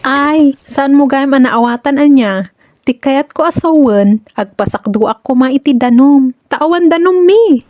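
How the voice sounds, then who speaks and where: A woman acting a flirtatious village woman in an Ilocano comedy radio drama. The voice is high and closely recorded with a dry sound, coy and teasing in an Ilocano accent. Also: a little slow, dark tone, no echo. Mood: coy, unbothered.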